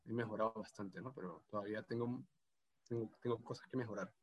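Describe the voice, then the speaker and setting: Venezuelan gocho-accented man in his thirties, guest in a relaxed interview, hesitant delivery. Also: on the low side, fast, highs cut off. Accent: Venezuelan Andean (gocho) Spanish. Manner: hesitant, many restarts and fillers. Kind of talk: casual video interview.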